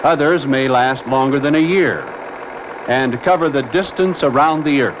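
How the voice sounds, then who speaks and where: A male narrator reads a scripted educational film in the 1960s style. His voice sits at a medium pitch, with formal, measured and explanatory phrasing. The old film soundtrack carries faint noise.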